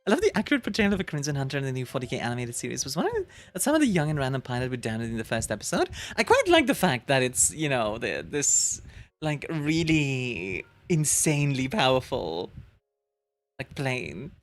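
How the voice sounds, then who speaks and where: A woman's voice: very deep voice, sing-song, a little fast. A woman from Belgium with a British-leaning English accent, streaming and chatting casually with her viewers, bubbly and giggly with lots of fillers. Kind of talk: casual chat reading live chat.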